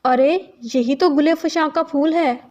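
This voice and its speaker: A woman's voice: sits high, a little slow, a little mellow. A woman voicing a devoted, earnest young male crow in a cartoon story. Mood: relieved, happy. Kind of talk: cartoon story character acting. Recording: dull lo-fi sound.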